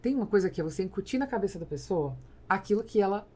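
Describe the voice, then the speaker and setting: A woman's voice: medium pitch, lively intonation, fast talker, dark tone, breathy edge. A woman in her forties with a Brazilian accent, hosting a conversational business interview at a close studio mic. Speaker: podcast host. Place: small foam-treated podcast studio. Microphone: studio mic on boom arm, close.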